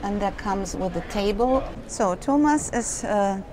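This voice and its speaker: A woman's voice: mid-range voice, lively intonation, unhurried, noisy background. A woman exhibitor demonstrating a small caravan at a trade fair, explaining features in simple, non-native phrasing. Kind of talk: product demonstration.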